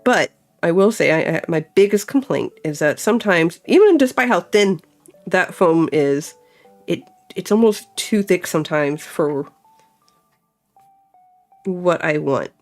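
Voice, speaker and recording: A woman's voice: fairly low pitch, animated, a little slow, long pauses, faint music behind. A young woman chatting casually as she crafts, thinking aloud and filling her talk with "like.". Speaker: crafting vlogger. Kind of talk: casual chat while crafting.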